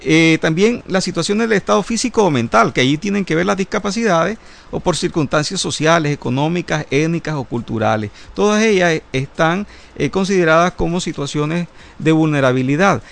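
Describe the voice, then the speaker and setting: A man's voice: fairly high pitch, lively intonation, brisk, creaky. A man who is a criminal lawyer, explaining legal points in a panel discussion with frequent hesitations and legal jargon.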